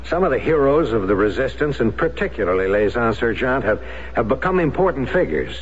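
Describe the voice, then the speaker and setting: An actor playing an evasive American consular official who talks hesitantly and diplomatically, full of fillers, in 1970s radio drama style. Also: male voice, medium pitch, big pitch swings, brisk, lightly acted. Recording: muffled lo-fi radio broadcast with hiss.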